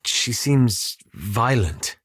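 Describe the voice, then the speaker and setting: An actor playing a reserved, scholarly male fantasy adventurer in a video game, speaking formally and articulately with measured, wry understatement. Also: medium pitch, expressive, a bit bright, husky, no echo, clean studio sound, full character voice. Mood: measured, thoughtful. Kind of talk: scripted video game character dialogue.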